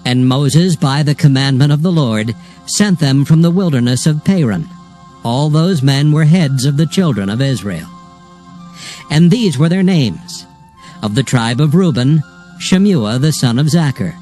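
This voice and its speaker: A man narrating scripture from a script in a measured, solemn manner. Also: fairly high pitch, animated, dark tone, soft background music.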